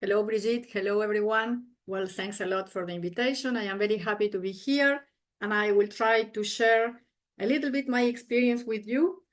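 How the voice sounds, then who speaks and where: A woman. She talks over a video call in a friendly, easy way. Her voice sits at a middle pitch. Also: moderate pace. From Argentina. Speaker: Taekwondo master and committee member. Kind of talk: personal introduction at a meeting.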